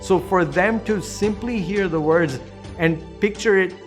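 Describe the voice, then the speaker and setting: A theater artist speaks at a middle pitch in a reflective interview style. Faint music plays behind the voice. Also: a little slow.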